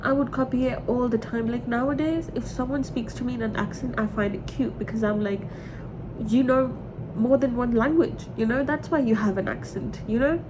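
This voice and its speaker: A woman in her twenties talking candidly and emotionally in a rambling personal confession, repeating herself for emphasis. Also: moderate pace. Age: 20s. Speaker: vlogger. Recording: clearly noisy with low rumble.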